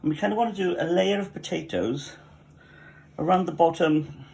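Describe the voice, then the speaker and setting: A man's voice: a little high, moderate pace, vocal fry, darkish tone. A man with a Welsh accent who runs a cafe, talking viewers through a cooking tutorial at his home kitchen in a chatty way, with joking asides. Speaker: cafe cook.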